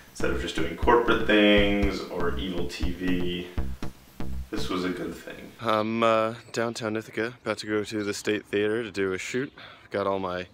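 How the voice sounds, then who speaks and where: A man's voice: low-pitched, fairly bright tone. A man in his twenties with an American accent, chatting in an upbeat, casual way for a video diary. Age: 20s. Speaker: vlogger.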